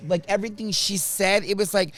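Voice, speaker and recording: A man in his sixties with a high-pitched voice, hosting a comedy podcast and telling animated stories full of voice mimicry. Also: fairly quick. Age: 60s. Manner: animated, mimics passengers' voices, self-mocking. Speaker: podcast host. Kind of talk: casual comedic storytelling.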